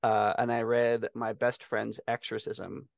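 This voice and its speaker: A man's voice: fairly low pitch, flat delivery, a little slow, creaky, no echo. Middle-aged man, dry close sound. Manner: casual, freely swearing. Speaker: podcast co-host. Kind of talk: casual chat about books. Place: small room on a video call.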